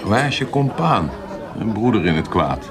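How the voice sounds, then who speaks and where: An actor playing a vengeful, hot-tempered official in a Dutch radio drama, theatrical and threatening. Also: male voice, fairly low pitch, big pitch swings, normal speed. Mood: sly, suggestive. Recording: loud crowd chatter behind.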